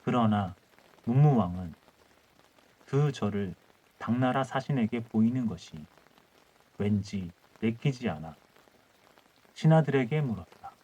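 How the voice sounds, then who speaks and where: A man's voice: medium pitch, animated, a little slow, pauses often, dark tone, low-quality recording. A man narrating a scripted historical folktale as an audiobook storyteller.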